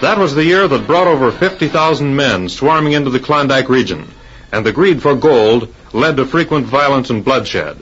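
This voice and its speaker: A man's voice: mid-range voice, lively intonation, moderate pace, a bit bright, soft background music. A man narrating an old adventure serial in a dramatic, scripted 1940s radio announcer style. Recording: dull old radio recording.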